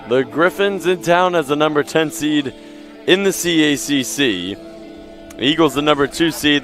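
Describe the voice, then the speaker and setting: A man doing live play-by-play commentary for a college soccer match, reeling off records and scores in a steady flow and correcting himself on the fly. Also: on the high side. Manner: steady, stat-heavy delivery, corrects himself on air.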